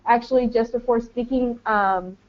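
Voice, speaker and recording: Young American woman, higher voice, muffled call. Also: fairly even intonation, normal speed. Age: 20s. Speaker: English teaching auxiliary speaking as a webinar panelist. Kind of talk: informal self-introduction on a webinar. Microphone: online webinar call. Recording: dull lo-fi internet call audio.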